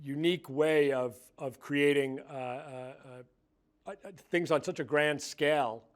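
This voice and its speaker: A man with a fairly high voice talking slowly and hesitantly in an interview. He fills nearly every pause with "uh" and "um". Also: steady tone. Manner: hesitant, many "uh"s. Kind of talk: casual junket interview.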